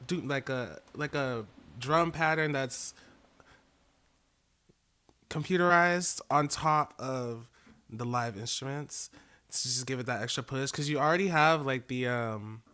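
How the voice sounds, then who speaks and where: A young man giving casual, halting feedback on a track, full of likes and small laughs. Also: medium pitch, bright, forceful tone, clear, resonant tone. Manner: loose, halting, lots of fillers and laughs.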